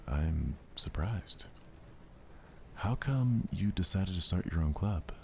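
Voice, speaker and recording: A man's voice: a bass voice, gravelly, a little mellow, slight background noise, heavily acted. A man voicing an ordinary, awkward teenage schoolboy in a visual novel, casual and hesitant.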